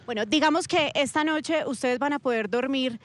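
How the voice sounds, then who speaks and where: A woman field reporter speaking on location in a large open-air camp, explaining the situation and then gently questioning people. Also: high voice, moderate pace. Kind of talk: on-location news report and street interviews.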